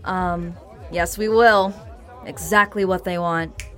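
A woman playing a wry, excitable young bandleader in an audio drama, talking casually. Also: lowish voice, slow pace, soft background music. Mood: pleased. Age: young adult.

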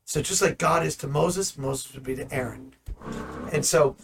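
A man in ministry teaching the Bible in conversation, animated and full of rhetorical questions. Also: a little high, normal speed, vocal fry, noisy background. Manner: animated, asks rhetorical questions, acts out dialogue.

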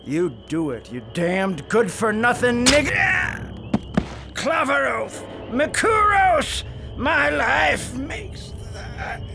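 An actor playing a fanatical, ruthless Confederate colonel, delivering grandiose oratory in a radio drama. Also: male voice, very high-pitched, sing-song, vocal fry, full character voice. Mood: fierce, demanding. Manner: grandiose oratory, slow and fervent. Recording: music under the voice.

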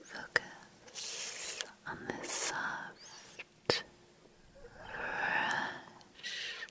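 A woman guiding an ASMR sleep relaxation in a soft, breathy whisper, soothing and repetitive, with lingering pauses, close to the microphone.

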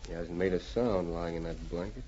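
An actor playing a tense outlaw kidnapper in an old-time radio drama, with rough folksy Western talk. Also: male voice, sits low, a little fast, warm tone, lightly acted. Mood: uneasy. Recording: muffled, hissy old radio recording.

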